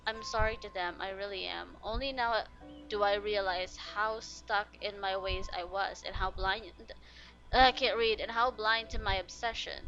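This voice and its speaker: A woman casually reacting to a video game and reading aloud, expressive and jumping from quiet to loud. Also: mid-range voice, moderate pace, lo-fi sound. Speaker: streamer playing a video game. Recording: game music behind the voice.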